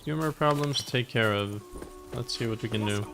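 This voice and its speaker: A man's voice: mid-range voice, a little fast, gravelly. A man streaming a video game with casual, off-the-cuff commentary. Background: game music and horse sounds.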